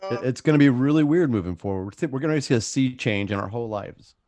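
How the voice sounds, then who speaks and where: A man in his fifties talks quickly and casually, steering a group chat with questions. His voice sits at a medium pitch. The sound is dry, with little room in it. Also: animated, a little mellow, dry recording, soft background music. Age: 50s. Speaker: actor leading the chat. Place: at home on a group video call.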